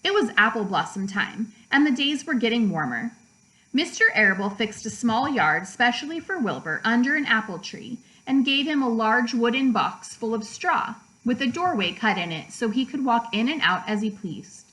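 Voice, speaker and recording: A woman narrating a children's book aloud like a teacher, with measured, deliberate phrasing for young listeners. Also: on the high side, lively intonation, fairly bright tone, poor audio. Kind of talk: storybook read-aloud narration.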